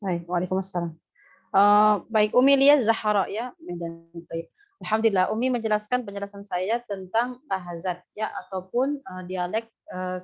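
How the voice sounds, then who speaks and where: A woman with an Indonesian accent teaching Quran recitation in a live lesson, explaining and demonstrating how sounds are pronounced. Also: medium pitch. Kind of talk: live lesson answering student questions. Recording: dull, lo-fi online audio.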